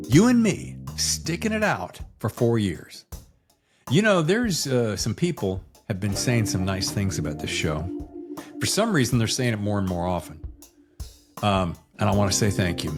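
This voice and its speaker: A man hosting a podcast in a casual, chatty style, with a folksy, effusive showman's way of talking. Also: on the low side. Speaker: podcast host.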